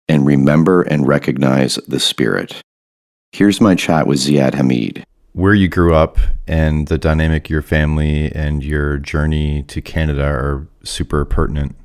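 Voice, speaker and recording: A man hosting a podcast, speaking in a measured, earnest way as he introduces and questions a guest. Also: rumbling low. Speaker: podcast host. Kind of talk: scripted monologue and interview questions.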